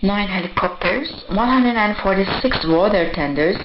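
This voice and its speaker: A woman's voice: medium pitch, animated, normal speed. A woman newsreader delivering a scripted radio news bulletin. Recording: muffled shortwave radio broadcast, clear static.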